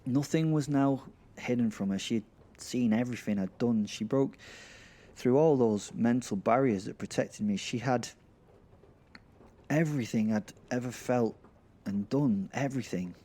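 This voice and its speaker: Slow, mellow man narrating, halting and informal. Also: medium pitch. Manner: informal, halting, self-deprecating. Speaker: narrator and podcast host. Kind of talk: first-person audio drama storytelling and informal address.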